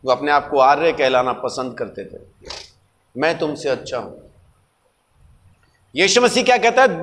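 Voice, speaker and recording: A male preacher with a notably high voice, recorded with long hall reverb. He delivers a sermon emphatically, posing questions and repeating key words as he teaches. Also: fairly bright tone. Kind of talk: sermon with rhetorical questions and everyday anecdotes.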